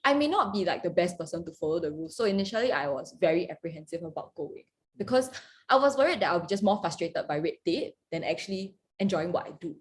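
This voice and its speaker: A woman's voice: lowish voice, expressive, fast, slight background noise. A woman from Singapore with a Singaporean accent, a firefighter chatting casually and laughing in a group video call. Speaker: firefighter and discussion host.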